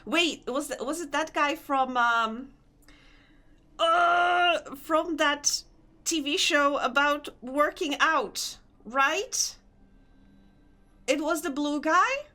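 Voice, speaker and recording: A woman's voice: sits high, extremely slow, bright, forceful tone. A young woman with a Polish accent chatting casually with her stream chat while gaming, laughing often and answering comments. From Poland.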